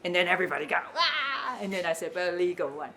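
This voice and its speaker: Lowish-voiced woman with a Taiwanese accent. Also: sing-song, quick pace, sharp tone. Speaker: interviewee, cafe owner. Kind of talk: informal explanatory interview talk.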